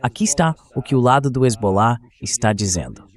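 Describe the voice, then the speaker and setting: A man's voice: mid-range voice, animated, a little fast, crowd noise. A man with a Brazilian accent reading a translated voice-over of political news commentary as the show's host.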